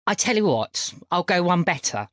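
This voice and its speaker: A woman voicing a shrewd elderly grandmother of magic in a tabletop game, courteous and deliberate, calling her listeners dears. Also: fairly low pitch, very animated, dry recording, pitched above the performer's own voice. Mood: genteel, approving.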